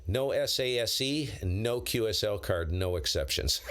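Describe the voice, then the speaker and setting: A man's voice: lowish voice, expressive, unhurried, fairly bright tone. An American man chatting casually on a ham radio live stream, in a rambling, blunt and slangy way. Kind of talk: casual unscripted chat with viewers, on-air call signs.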